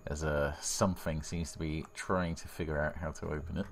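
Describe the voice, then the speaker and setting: A man's voice: a bass voice, little pitch movement, a little fast, creaky. A man improvising narration as game master of a tabletop role-playing game, speaking informally with some swearing.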